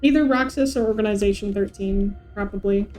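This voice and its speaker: A woman's voice: mid-range voice, fairly quick, darkish tone. A woman chatting casually as she hosts a livestream of a video game. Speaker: game streamer, host. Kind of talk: casual livestream chat over gameplay.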